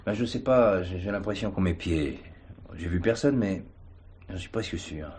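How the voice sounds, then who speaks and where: An actor playing a dogged police commissioner who reasons through hunches in a hesitant, conversational way, in a television drama. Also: male voice, sits low, moderate pace, darkish tone, close to an everyday voice. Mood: reflective. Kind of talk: French television drama acting.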